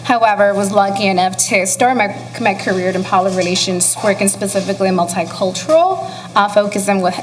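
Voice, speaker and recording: A woman's voice: mid-range voice, long reverb tail, low-quality recording. A woman from the Republic, speaking earnestly as a panelist and searching for her words. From Dominican Republic. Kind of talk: panel discussion. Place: event hall, live panel.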